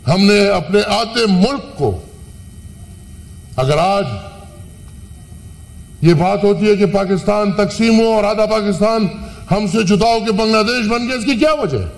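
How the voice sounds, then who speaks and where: A man giving an impassioned, rhetorical speech as a senator in a large parliament chamber, pausing at length between phrases. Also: sits high. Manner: impassioned, rhetorical, with long pauses. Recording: parliament broadcast with hall reverb.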